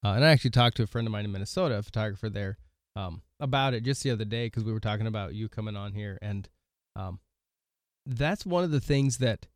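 A man's voice: lowish voice, quick pace, no echo. Male interview host, dry close sound. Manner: thoughtful, hesitant with fillers. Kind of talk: conversational interview.

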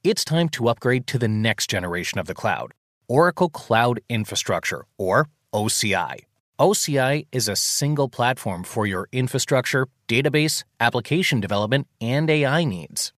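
A man's voice: mid-range voice, animated, normal speed, a bit bright, no echo, clean studio sound. A man reading a scripted radio commercial as an announcer.

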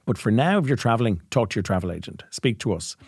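Man with a low voice, dry sound. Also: lively intonation, quick pace, a little mellow, breathy edge, no echo. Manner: upbeat, informative, many "you know"s. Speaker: podcast host, company chief executive. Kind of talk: casual business podcast chat.

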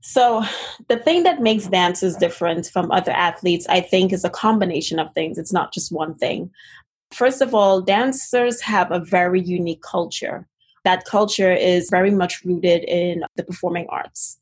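Husky-voiced woman with slight Trinidadian accent. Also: lowish voice. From Trinidad and Tobago. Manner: earnest, articulate, passionate. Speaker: physical therapist guest. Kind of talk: interview answers.

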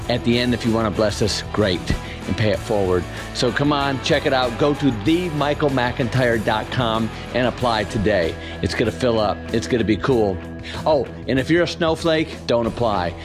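A man's voice: medium pitch, moderate pace, over music. Folksy, hyped-up man hosting. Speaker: podcast host. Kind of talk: casual, enthusiastic interview talk. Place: studio.

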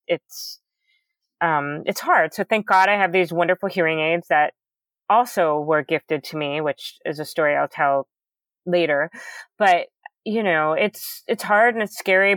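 A woman guest in a candid interview, speaking loudly and enunciating carefully, earnest and animated with many fillers. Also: fairly low pitch, no room sound. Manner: loud, carefully enunciated speech.

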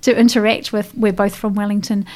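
A woman with a New Zealand accent, a guest in a casual interview, telling animated stories with lots of fillers and laughs. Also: mid-range voice, fairly quick.

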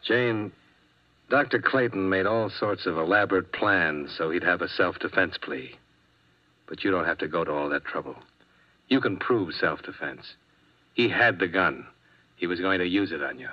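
An actor playing a world-weary insurance investigator in a 1950s radio detective drama, narrating tersely and dryly. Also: male voice, deep voice, moderate pace. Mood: gentle. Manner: terse hard-boiled narration, dry and tired. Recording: dull old radio transcription with music.

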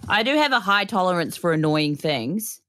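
A woman's voice: lowish voice, expressive, moderate pace, a bit bright. A woman with a nasal voice chatting casually, rambling, laughing often and swearing. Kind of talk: casual chat.

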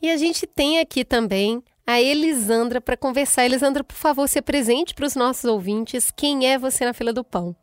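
Mulher brasileira apresentando podcast. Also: female voice, high-pitched, normal speed, few pauses, dry recording, studio quality. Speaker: podcast co-host. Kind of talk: podcast conversation.

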